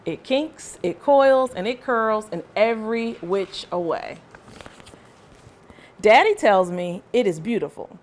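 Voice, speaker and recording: A woman voicing a proud, excitable little girl in a children's storybook, with expressive delivery. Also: mid-range voice, slow. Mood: proud, excited. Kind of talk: children's storybook read-aloud.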